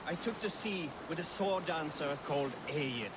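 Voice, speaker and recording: A man's voice: fairly high pitch, moderate pace, close to an everyday voice. An actor playing a teasing Viking crewman in a video game, speaking in a dramatic storyteller's manner. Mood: storytelling. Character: gruffly teasing Viking crewman telling sea tales. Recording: loud stream and boat noise behind. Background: water and boat ambience.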